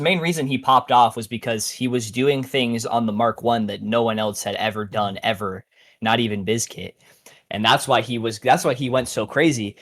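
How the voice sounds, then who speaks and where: A man's voice: medium pitch, a bit bright. A man in casual podcast chat, explaining his opinion informally and often rephrasing as he goes. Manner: informal, repeats and rephrases, frequent "like". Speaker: podcast panelist.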